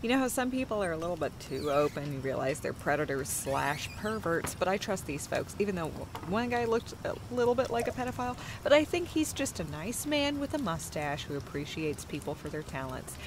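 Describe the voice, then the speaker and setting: A woman playing an affectionate, playful girlfriend in an intimate audio roleplay, speaking casually and tenderly, often breathy and close to a whisper. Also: lowish voice, big pitch swings, a little fast, hardly any pauses, background noise. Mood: chatty, animated. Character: affectionate, playful, chatty girlfriend comforting her partner.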